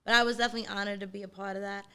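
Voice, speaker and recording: She is a woman with a strong Brooklyn accent and a clear, resonant voice at a medium pitch. She talks like a relaxed interview guest, emphatic and full of street slang, and curses freely. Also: racing. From South Brooklyn, New York. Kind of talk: casual interview chat.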